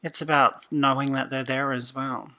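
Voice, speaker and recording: Aussie woman chatting on a podcast, thoughtful, lots of restarts, kinda bright voice. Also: extremely low pitch, fairly even intonation, normal speed. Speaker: podcast guest. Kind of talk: casual conversation.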